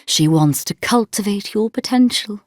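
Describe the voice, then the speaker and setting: Woman acting a stern, haughty military captain, animated delivery. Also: medium pitch, moderate pace, no room sound, clean studio sound, close to an everyday voice. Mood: haughty, threatening. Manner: formal, clipped, imperious. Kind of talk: video game character dialogue.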